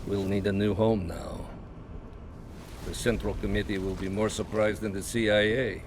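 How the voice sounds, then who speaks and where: An actor playing a cold, calculating Soviet spymaster with a measured, menacing delivery in a video game cutscene. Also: male voice, fairly low pitch, steady tone, noisy background, lightly acted. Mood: calm, satisfied. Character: cold, calculating Soviet spymaster, a man who plots patiently.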